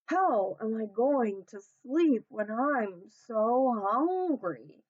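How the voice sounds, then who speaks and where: Storybook role: lazy boy hippo toy, played by a woman, always hungry, slow drawling grumble, swooping pitch. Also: big pitch swings, darkish tone, breathy edge, lo-fi sound, exaggerated voice. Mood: sleepy.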